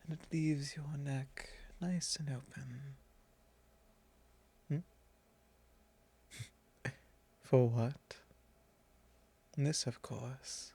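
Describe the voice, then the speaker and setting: A man's voice: mid-range voice, steady tone, creaky, breathy edge, heavily acted. A man playing a possessive, courtly vampire lord in an ASMR roleplay, speaking formally and condescendingly in an intimate monologue. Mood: teasing, intimate. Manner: formal, unhurried, condescending, with soft chuckles.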